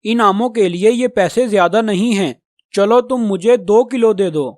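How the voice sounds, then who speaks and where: A man's voice: high voice, normal speed, a little mellow, no echo, clean studio sound, natural voice. A man voicing a friendly, chatty male crow who shops for mangoes in a children's cartoon. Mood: friendly, eager.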